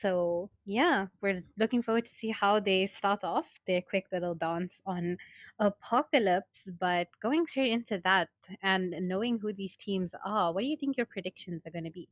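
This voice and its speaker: Casting note: female esports co-caster, playful and measured, mid-pitched, muffled stream audio. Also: no room sound. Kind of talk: live esports commentary chat. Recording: slightly dull lo-fi stream audio.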